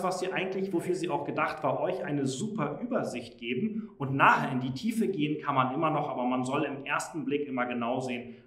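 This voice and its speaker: A man's voice: medium pitch, a bit bright. A young man giving a keynote product presentation on stage, explaining features casually with frequent filler sounds. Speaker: company presenter. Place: conference room on stage. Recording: echoey room.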